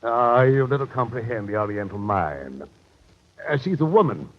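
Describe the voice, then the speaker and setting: An actor playing a pompous, scheming colonial captain in an old radio drama, with florid, blustering speech. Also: male voice, mid-range voice, sing-song, moderate pace, natural voice. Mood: smug, scheming. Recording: dull lo-fi old radio recording.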